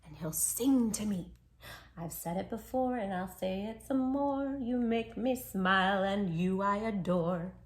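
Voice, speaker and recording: A woman voicing a small, proud and affectionate male dachshund from a storybook diary, timid and stubborn by turns, with playful, drawn-out phrasing. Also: medium pitch, lightly acted. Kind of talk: storybook diary read aloud.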